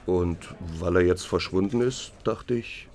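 An actor playing a guarded, streetwise workmate in a German radio crime drama, speaking in an offhand, colloquial way. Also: male voice, sits low, unhurried, a little mellow. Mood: offhand, reticent. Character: guarded, streetwise male workmate, plain-spoken.

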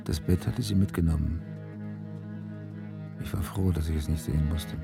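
An actor playing a stern, weary police detective in a crime radio play, terse and brooding. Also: male voice, rumbling low, normal speed, creaky, loud music behind the voice. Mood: brooding, reflective. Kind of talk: German radio drama acting, inner monologue.

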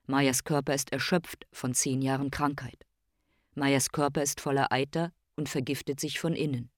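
An actor playing a detached narrator in a radio drama, speaking in terse, clinical, emphatic statements. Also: male voice, medium pitch, little pitch movement, normal speed, no echo, clean studio sound, close to an everyday voice. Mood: detached, grave. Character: detached clinical male narrator reporting a man's decline.

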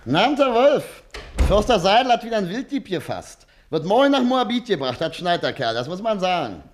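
Pompous, blustering braggart, very lively delivery. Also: male voice, high-pitched, brisk, slight background noise. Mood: boastful. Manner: blustering, self-important. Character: pompous, snooping, threatening male braggart and informer. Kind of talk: theatrical German radio play acting.

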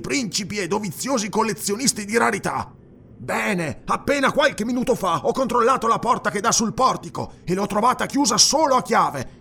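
A man voicing a jittery, blustering night watchman in a fantasy audiobook, with a strained, raspy, nervous voice. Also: high voice, pitched above the performer's own voice, full character voice. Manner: nervous, excitable exclamations. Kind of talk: dramatized fantasy audiobook dialogue.

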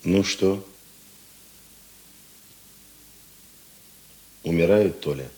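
An actor playing a stern, world-weary middle-aged police chief in a radio drama, with a husky, gruff, dry delivery. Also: male voice, low voice, moderate pace, warm tone. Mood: grave.

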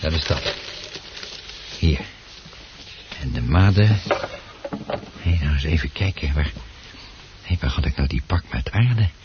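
A man's voice: a bass voice, expressive, unhurried, long pauses. An actor playing a polite, eager male hobbyist in a radio play, courteous and a little hesitant. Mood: absorbed, pleased. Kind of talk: Dutch radio play acting. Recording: dull, hissy old radio play recording.

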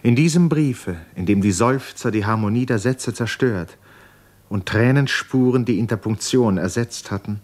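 A man narrating a literary 1950s radio drama in a grave, measured storytelling manner. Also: mid-range voice, darkish tone.

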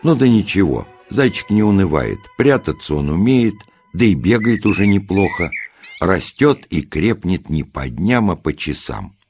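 A man narrating a children's nature tale as a storyteller, in expressive, measured short phrases with pauses. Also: low voice. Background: music.

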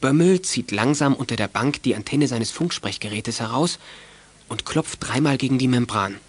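An actor narrating a children's detective audio drama as a composed storyteller, in scripted storybook style. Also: male voice, medium pitch, animated, moderate pace, husky. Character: composed male storyteller of a youth detective tale.

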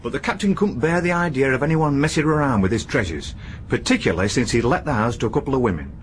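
An actor playing a loyal, deferential manservant who speaks in homely phrases in a radio drama. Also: male voice, medium pitch, expressive, fast talker, natural voice. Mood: respectful. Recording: archive radio drama with light hiss.

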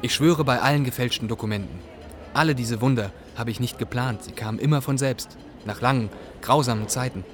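A man's voice: medium pitch, brisk, soft background music, natural voice. A man acting as a young Iraqi refugee, earnestly narrating his story in a radio play. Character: young male Iraqi refugee telling his hard odyssey.

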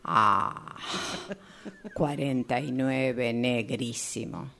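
A woman's voice: extremely low pitch, drawn-out words, vocal fry, pushed and bright, exaggerated voice. A woman playing an emphatic, reactive figure in a radio drama exercise. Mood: reacting, dramatic. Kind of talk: radio drama improvisation in nonsense words.

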